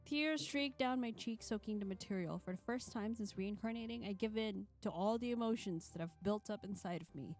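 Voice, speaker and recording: A woman's voice: medium pitch, fairly quick, hardly any pauses, a little mellow, soft background music, close to an everyday voice. A woman voicing an emotional teenage girl, a reborn saintess, dramatically reading first-person visual novel narration. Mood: anguished.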